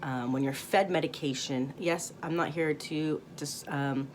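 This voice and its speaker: A woman in her late thirties talking candidly in a rambling spiritual vlog monologue, with many pauses and fillers and some swearing. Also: low voice, steady tone, slight background noise. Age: 30s. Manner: rambling, candid, frequent ums and profanity. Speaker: spiritual vlogger.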